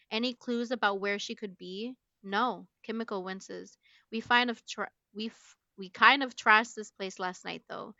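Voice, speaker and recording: Bright, theatrical woman reading aloud. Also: mid-range voice, dry recording, lo-fi sound. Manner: theatrical, abrupt swings into loud emphasis. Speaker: book club podcast host. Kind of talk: dramatic novel read-aloud with casual asides.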